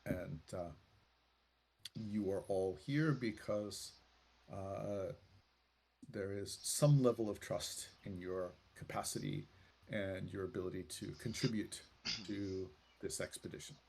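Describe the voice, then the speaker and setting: A low-voiced man acts as game master, narrating and improvising in character. His voice is husky with a creaky edge. He feels his way through sentences deliberately, pausing often. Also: a little mellow. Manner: halting, deliberate, with many pauses. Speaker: game master of a tabletop roleplaying game.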